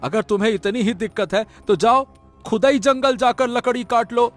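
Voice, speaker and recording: Man acting cartoon woodcutter husband, quite high voice, exasperated, whining complaints, poor villager, faint music. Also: moderate pace. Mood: exasperated, fed up. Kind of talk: Hindi cartoon moral story voice acting.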